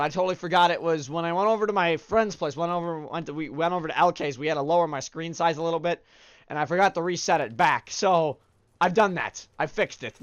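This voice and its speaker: A man's voice: a little high, no echo. A young man hosting a game video, chatting casually and upbeat with fillers, sounding stuffed up from allergies. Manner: upbeat, chatty, shout-outs with many fillers. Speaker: gaming channel host. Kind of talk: casual let's-play commentary.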